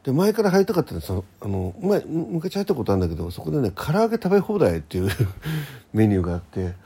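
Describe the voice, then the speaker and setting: A man's voice: mid-range voice, wildly swooping pitch, rapid-fire, warm tone, slightly rough. A man hosting a casual solo radio talk in a rambling, conversational manner. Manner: rambling, conversational, acts out quoted exchanges.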